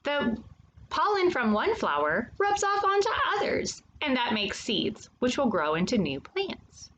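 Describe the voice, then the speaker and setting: Female storytime host, higher-pitched and animated, speaking straight to young kids, some background noise. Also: dull audio. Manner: addresses young listeners directly, with questions and pauses. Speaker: host of a children's storytime, reading a picture book aloud. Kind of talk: read-aloud children's nonfiction story with brief asides.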